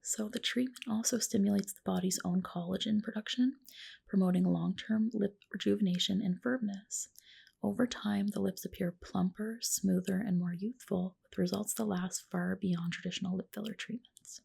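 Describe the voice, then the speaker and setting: Whispery, breathy woman in ASMR roleplay. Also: mid-range voice, steady tone, normal speed. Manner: gentle, reassuring client-care talk. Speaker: ASMR creator playing a medical spa practitioner. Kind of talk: ASMR roleplay, personal attention. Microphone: close ASMR microphone.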